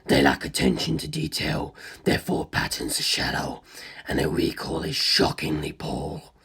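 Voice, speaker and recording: A narrator voicing an ancient, arrogant superhuman male villain in an audiobook, lecturing humans with cold contempt in a rasping, breathy growl. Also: very deep voice, wildly swooping pitch, a little slow, a bit bright, voice pushed down, exaggerated voice. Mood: musing, disdainful. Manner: condescending, lecturing, disdainful. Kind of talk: audiobook character dialogue.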